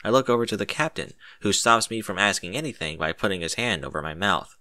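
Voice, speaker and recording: A man narrates a story in the first person with dramatic expression. His voice is fairly low and bright, with lively swings in pitch. The sound is dry and close. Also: fast talker, no echo.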